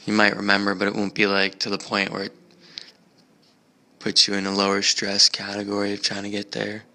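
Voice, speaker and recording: A man's voice: lowish voice, monotone, a little fast, pushed and bright. An American man chatting casually as a travel vlogger in a museum park, rambling with many fillers and restarts.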